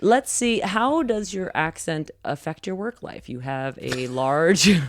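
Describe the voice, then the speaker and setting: Female podcast host, lowish voice, playful and teasing; dry, close recording. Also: lively intonation, unhurried, no room sound. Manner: teasing, playful, laughs often. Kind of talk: casual podcast interview.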